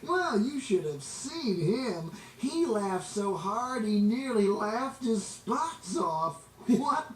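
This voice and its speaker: A man reading a children's story aloud in an expressive, dramatic way, drawing out words for emphasis. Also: high-pitched. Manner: animated, dramatic, drawn-out emphasis. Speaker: storybook reader. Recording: roomy, distant, noisy amateur recording.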